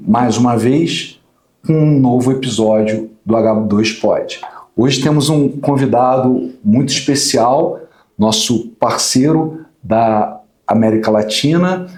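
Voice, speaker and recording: A man's voice: medium pitch, a little mellow, echoey room. A Brazilian man hosting a podcast interview, talking informally with fillers. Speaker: podcast host. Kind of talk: conversational interview.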